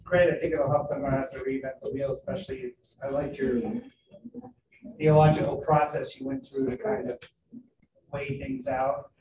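Board member, male, steady flat delivery, distant room mic. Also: medium pitch. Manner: halting, deliberate questioning. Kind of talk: meeting questions. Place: school meeting room. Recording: roomy, distant mic with faint noise.

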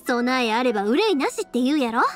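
A cheerful young woman with a Kansai accent, her voice high and girlish. She talks in a casual, caring, friendly way, like an anime game heroine, over faint music. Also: very animated, brisk. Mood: earnest, caring. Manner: casual, friendly, storytelling, dialect-heavy speech. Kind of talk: Japanese anime game voice acting.

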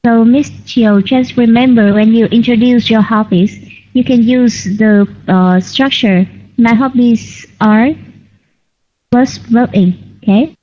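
A woman teaching an online English class, correcting students patiently and clearly. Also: a little high, steady tone, slow, warm tone. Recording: lo-fi online call audio.

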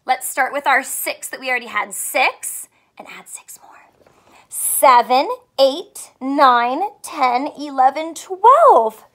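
A young woman teacher doing a read-aloud math lesson for children, animated and full of questions, speaking clearly for kids. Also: sits high, takes it slowly. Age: young adult. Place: small furnished room at home, indoors.

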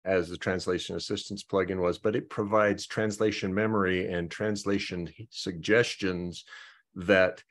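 A man hosting an online project meeting, talking through updates in a halting way and often repeating words as he thinks. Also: sits low, steady tone. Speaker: meeting host.

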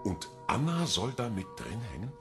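Indignant suspect, radio crime drama, fairly low pitch, animated melody, faint music underneath. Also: male voice, moderate pace. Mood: indignant, guarded. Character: defensive, indignant male police suspect.

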